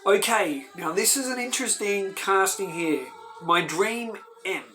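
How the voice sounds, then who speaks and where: A man hosting his own fan channel, talking to camera with excited, emphatic enthusiasm and bursts of emphasis. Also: sits high, unhurried, faint music behind. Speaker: host of a fan video channel. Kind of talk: enthusiastic casual talk to camera.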